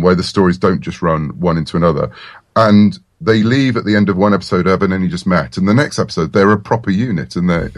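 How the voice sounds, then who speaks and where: A man's voice: deep voice, no room sound, studio quality. A man chatting casually as an interview guest, with a wry, self-deprecating manner. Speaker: interview guest, comics and audio writer.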